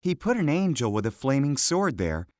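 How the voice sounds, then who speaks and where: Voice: medium pitch, big pitch swings, normal speed, darkish tone, a little hiss or hum. A narrator reading a scripted children's Bible story.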